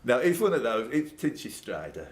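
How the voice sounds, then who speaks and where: Male pub quiz host, animated delivery, bantering between questions, faint background noise. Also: medium pitch, normal speed. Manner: jokey banter with contestants; reads questions aloud, then chats off the cuff. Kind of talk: live pub quiz hosting. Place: indoors at a pub quiz event.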